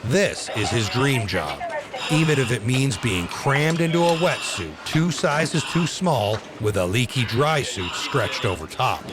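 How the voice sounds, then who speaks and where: A man's voice: medium pitch, sing-song, a little slow, very noisy. A man reading scripted documentary narration for a reality television series. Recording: music bed behind voice. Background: loud music.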